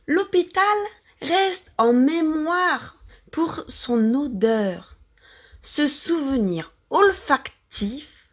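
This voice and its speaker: A woman with a French accent narrates a novel in a fairly high voice. She reads extremely slowly, phrase by phrase, with careful and deliberate enunciation suited to learners practising pronunciation. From France. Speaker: audiobook-style reader for language learners.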